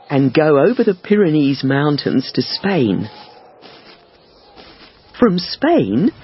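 A man narrates a first-person life story in a slow, careful reading made for learners, his pitch rising and falling expressively. The recording sounds dull and lo-fi, and faint music plays softly behind him. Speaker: audiobook reader.

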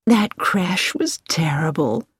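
A woman's voice: lowish voice, animated, husky, dry recording, clean studio sound, heavily acted. A woman reading as a chatty middle-aged American lady. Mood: friendly. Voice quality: pleasant voice. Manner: slow, inquisitive and sociable.

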